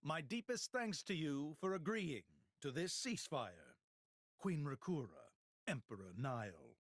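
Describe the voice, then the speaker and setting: A courtly religious statesman in a game cutscene. His voice is fairly high and lively in pitch, formal and ceremonious, recorded dry. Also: a little slow, no echo. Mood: formal, gracious. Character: courtly, smooth, dignified male high priest and statesman. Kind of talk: dramatic game cutscene dialogue.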